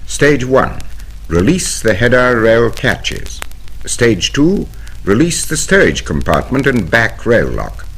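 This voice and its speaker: A British man reading a scripted instructional narration in a formal, precise, measured way, from a 1970 vinyl record soundtrack. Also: mid-range voice, very animated, a bit bright, a little hiss or hum, poor audio. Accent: British English. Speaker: training film narrator.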